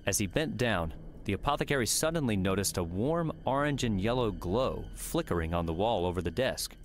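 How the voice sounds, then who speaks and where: A man's voice: lowish voice, expressive, a little fast, soft background music. A man narrating an adventure novel as a scripted audiobook reading. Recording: faint background noise and ambience.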